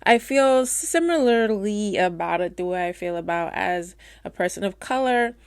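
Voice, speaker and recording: Middle-aged woman doula, stutters, open and encouraging, plain mid-pitched voice, dry close recording. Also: expressive, moderate pace, clean tone, no echo. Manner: stutters, warm and candid with playful asides. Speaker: doula and course teacher. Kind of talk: casual advice talk to camera.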